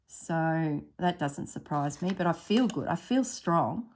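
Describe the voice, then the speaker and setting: A woman with a slightly husky voice narrating a casual craft tutorial at her table, thinking aloud as she works. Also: low-pitched, animated, noisy background. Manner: unhurried, thinks aloud while working. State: recovering from illness, slightly husky throat. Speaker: craft vlogger.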